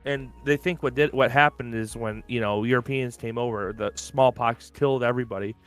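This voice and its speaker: A man's voice: medium pitch, steady tone, a little fast, fairly bright tone, faint music behind. Rambling man on a podcast. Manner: rambling, heavy use of "like". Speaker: podcast co-host. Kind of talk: casual chat. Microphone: open-ear headphones and desk mic.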